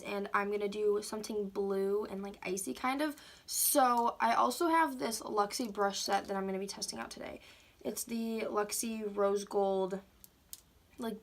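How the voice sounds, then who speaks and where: A woman's voice: mid-range voice, a bit bright. A woman vlogger talking through a makeup tutorial at home, chatty and full of "like", sounding congested from a cold. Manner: chatty, filler-heavy, says "like" often. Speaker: beauty vlogger. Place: at home, indoors. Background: faint heater hum.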